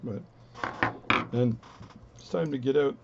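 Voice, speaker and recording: A man with a low voice talking through his watch repair at a home workbench, relaxed and rambling as he narrates each step. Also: slow pace, vocal fry, dull audio, noisy background. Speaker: watchmaking hobbyist demonstrating. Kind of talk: casual bench demonstration, talking while working.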